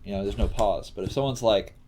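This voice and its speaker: A man teaching coding on a casual livestream, thinking aloud in a halting mutter as he works. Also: lowish voice, a little mellow. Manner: halting, muttering to himself while working. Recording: faint noise, keyboard typing and clicks. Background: computer keyboard typing and mouse clicks.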